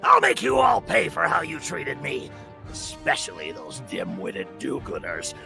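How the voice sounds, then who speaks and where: A man's voice: mid-range voice, very animated, unhurried, creaky, over music, heavily acted. A man voicing a gloating, power-mad villain with a harsh, grating voice in a comic book table read. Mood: vengeful, ranting. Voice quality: harsh, grating like broken glass. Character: gloating megalomaniac villain craving worship and revenge.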